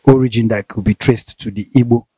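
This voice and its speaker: A man's voice: mid-range voice, fairly even intonation, a little fast, mellow, husky, no echo, clean studio sound. A man in his thirties with a Nigerian accent, hosting a conversational interview with probing, hesitantly phrased questions. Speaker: interviewer, host. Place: outdoors, seated by corrugated metal fence. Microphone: handheld interview mic.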